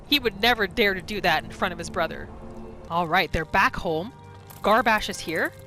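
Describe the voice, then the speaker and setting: Lively-voiced woman narrating a game. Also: medium pitch. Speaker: gaming YouTuber. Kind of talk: narrated roleplay game commentary. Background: game music.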